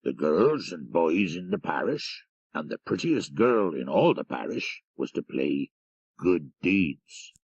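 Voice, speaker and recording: A man's voice: deep voice, sing-song, slow, breathy edge, no echo, dull audio, heavily acted. A man voicing a deferential, folksy Irish country driver telling local tales in an audiobook. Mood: deferential, chatty. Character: rural Irish jarvey driver, deferential, gossipy storyteller. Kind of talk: audiobook character reading.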